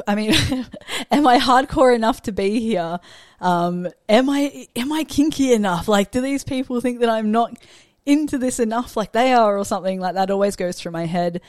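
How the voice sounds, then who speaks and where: A woman in her late twenties talks casually as a podcast panelist. Her voice has a middle pitch. The recording is dry and free of room sound. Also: a little mellow, dry recording. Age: 20s. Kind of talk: casual chat.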